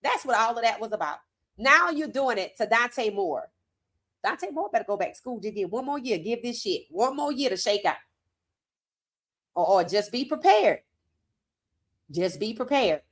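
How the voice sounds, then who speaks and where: A woman hosting a sports commentary livestream, hoarse from talking, animated and emphatic with casual slang. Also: fairly high pitch, moderate pace, long pauses. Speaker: host.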